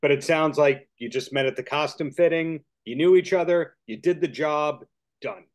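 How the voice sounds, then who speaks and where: A man's voice: on the high side, moderate pace. A man hosting a podcast interview over a video call, asking long, chatty, joking questions. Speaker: podcast host.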